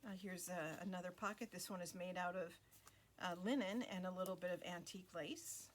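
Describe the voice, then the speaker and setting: Female craft host, casual unhurried narration, slightly low pitch, lots of pauses. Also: a little hiss or hum. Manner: relaxed, many fillers and pauses. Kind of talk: casual flip-through narration.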